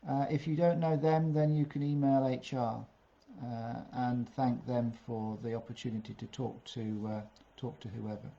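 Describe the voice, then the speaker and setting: A man talks through job interview tips in a mellow, warm voice, and he often stops on "uh". The sound is a little dull and muffled, like a modest home recording. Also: medium pitch, fairly even intonation, normal speed, vocal fry. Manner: plain-spoken, many "uh" fillers. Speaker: career coach. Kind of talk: webinar advice talk.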